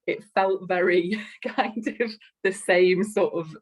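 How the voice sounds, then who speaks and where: A woman's voice: medium pitch, fairly even intonation, takes it slowly, breathy edge. A woman with a Liverpool accent, a podcast guest chatting enthusiastically and effusively, often repeating words as she goes. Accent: Liverpool (Scouse). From Liverpool, England. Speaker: guest, customer care strategy lead. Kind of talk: podcast interview chat.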